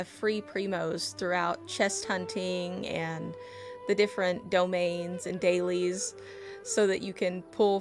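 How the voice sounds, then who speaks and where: A woman's voice: medium pitch, gravelly. A playful, chatty woman game streamer talking casually and reacting as she plays. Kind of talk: casual chat and reactions while playing a game. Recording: game music in the background.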